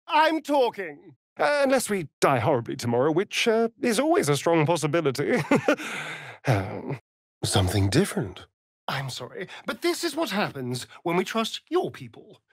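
An actor playing a sardonic, flirtatious vampire elf rogue in a video game, with an arch, droll, theatrical delivery. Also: male voice, fairly high pitch, no room sound, clean studio sound, full character voice. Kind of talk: video game character voice lines.

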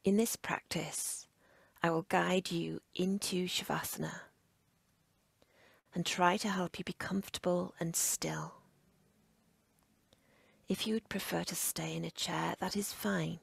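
A woman with a breathy, husky near-whisper guides a relaxation meditation as a yoga nidra instructor, in short, measured, soothing phrases. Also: lowish voice, fairly even intonation, no echo.

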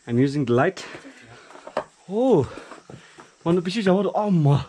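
A man's voice: high-pitched, big pitch swings, moderate pace, dark tone. Exclamatory Northeast Indian man, noisy outdoor recording. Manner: exclamatory, full of amazement. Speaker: vlogger exploring a cave. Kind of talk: casual excited vlog chat. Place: outdoors at a rocky cave in forest. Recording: noisy outdoor handheld action camera.

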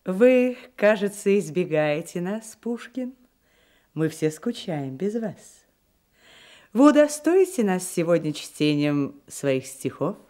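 An actress playing a gracious, teasing society countess in a radio drama, genteel and drawling. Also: female voice, lowish voice, sing-song, slow, mellow. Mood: coy. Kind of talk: Soviet radio drama acting.

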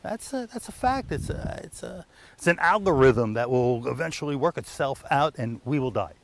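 A man's voice: medium pitch, expressive, moderate pace, vocal fry, dry recording. A middle-aged man, a beekeeper explaining his work in casual, rambling speech. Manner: chatty, lots of fillers and restarts. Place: outdoors in a garden.

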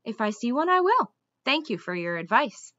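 A woman reads the part of a polite storybook king who sounds mildly puzzled. He asks his questions courteously. The delivery is bright, with lively pitch. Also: moderate pace, lo-fi sound.

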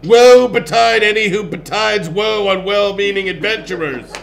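A man's voice: sits high, steady tone, unhurried, heavy background noise, pitched above the performer's own voice. A man voicing a giant, boastful, belligerent barbarian with bellowing, growling archaic bombast in a fantasy story. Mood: gruff defiance.

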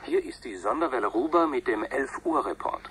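An actor playing a solemn radio news reporter in a radio play, formal and dramatic in delivery. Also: male voice, medium pitch, lively intonation, a little fast, fairly bright tone, close to an everyday voice. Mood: grave, sympathetic. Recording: faint noise, radio broadcast sound.

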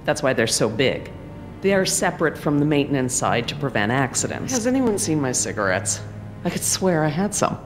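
A woman's voice: low voice, sing-song, brisk. A woman playing a composed, weary female scientist in a video game, explaining things precisely. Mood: distracted, weary. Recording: long hall reverb, faint music.

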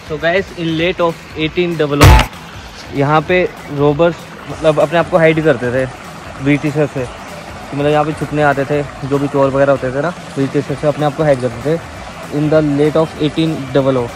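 A young man talks to viewers in a casual travel-vlog style. His voice sits a little high, and loud music plays over the outdoor phone recording. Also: flat delivery, a little slow, rough recording. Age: young adult. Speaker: travel vlogger. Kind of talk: casual vlog narration to viewers, Hindi mixed with English. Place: outdoors at a stream and rocky gorge.

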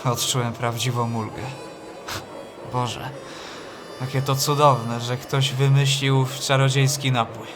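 A man's voice: mid-range voice, little pitch movement, unhurried, close to an everyday voice. A man playing an anxious young seminary student who drinks to escape, telling his story in literary radio drama style. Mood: introspective. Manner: literary, introspective. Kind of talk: first-person radio drama narration and acting. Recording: music under the voice.

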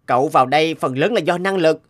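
A man's voice: on the high side, quick pace, natural voice. An actor playing a principled young man in a cartoon, a concerned friend who speaks earnestly and frankly. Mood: serious. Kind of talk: cartoon dubbing.

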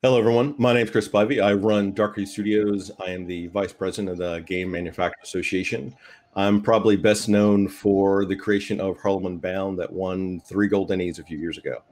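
A man with a deep, low voice speaks as a panelist. His delivery is thoughtful and conversational, recorded on a video call. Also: steady tone, brisk, darkish tone, slight background noise. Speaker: panelist, game designer. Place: indoor room at home.

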